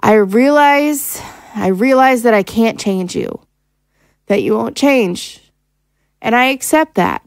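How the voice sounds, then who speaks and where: A woman's voice: on the high side, very animated, slow, long pauses, no room sound, clean studio sound, exaggerated voice. A woman playing a hurt, fed-up wife and mother in an audio drama, pleading and accusatory as she confronts her husband. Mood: hurt, resolute. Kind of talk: dramatic audio screenplay acting.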